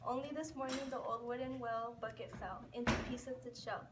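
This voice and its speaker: A woman's voice: on the high side, steady tone, moderate pace, lightly acted. A woman playing a fussy, scheming cottage sister in an amateur rhyming puppet show. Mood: complaining. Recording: distant mic, clearly noisy, clicks.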